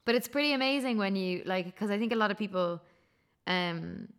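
A woman of ordinary pitch co-hosts a podcast. She speaks in a chatty, enthusiastic way and often fills her sentences with "like". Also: a little fast, fairly bright tone, clear, resonant tone. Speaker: podcast co-host. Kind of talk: casual podcast interview.

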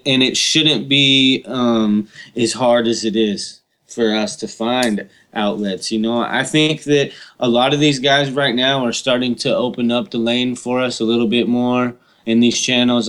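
A man's voice: mid-range voice, fairly bright tone. A man chatting casually as a podcast guest, with heavy slang and casual swearing. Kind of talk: casual chat.

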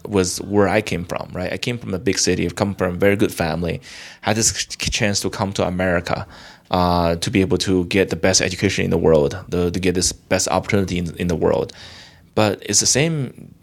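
A young man from China, a guest in an interview, talking in a halting way with many restarts and fillers. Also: low voice, creaky. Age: young adult. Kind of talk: casual interview talk.

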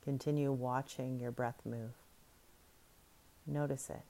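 A woman guiding a breathing meditation in soft, soothing, unhurried instructions. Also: rumbling low, steady tone, gravelly. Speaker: meditation guide.

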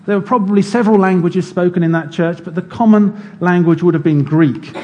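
A man preaching a sermon in a church, explaining scripture in a measured teaching manner. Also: high-pitched, lo-fi sound.

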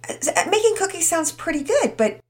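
A woman's voice: very high-pitched, sing-song, brisk, pitched above the performer's own voice. A woman voicing an eager, starstruck young boy in a storybook reading.

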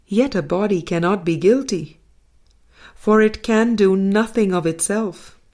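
A woman reading a spiritual book aloud and commenting on it, in a measured, deliberate way with soft, trailing endings. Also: medium pitch.

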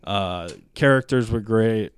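Smooth low male co-host, relaxed hesitant delivery, dry close mic. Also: takes it slowly, no echo. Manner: laid-back, hesitant with fillers. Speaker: podcast co-host. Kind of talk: casual chat.

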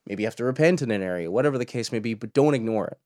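Brief: young male host, mid pitch, dry close sound, chatty commentary. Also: lively intonation, very fast, a little mellow, dry recording. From Cork, Ireland. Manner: chatty, restarts often, laughs in asides. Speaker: podcast host. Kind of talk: casual commentary.

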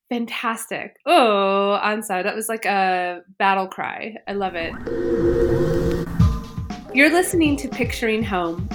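A woman hosting a podcast interview, talking in an expressive, effusive way. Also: on the high side. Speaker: podcast host.

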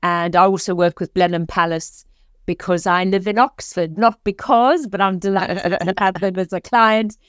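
Hesitant South African woman, smooth voice. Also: medium pitch, fairly even intonation, no room sound, highs cut off. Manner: hesitant, halting, with fillers and restarts. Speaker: guest, organisational development consultant. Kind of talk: podcast interview conversation.

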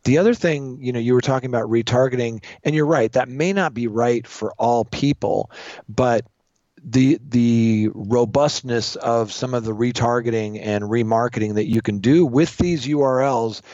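A man co-presenting, explaining things informally in a measured, slightly hesitant advisory way on a lo-fi track. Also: medium pitch, warm tone, no room sound. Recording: dull lo-fi voice track.

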